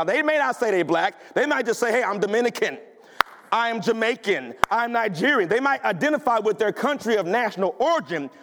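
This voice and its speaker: Male pastor, very high voice, punchy conversational preaching, church with long echo. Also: fairly bright tone. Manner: emphatic, conversational preaching with rhetorical questions. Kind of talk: sermon. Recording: long hall reverb.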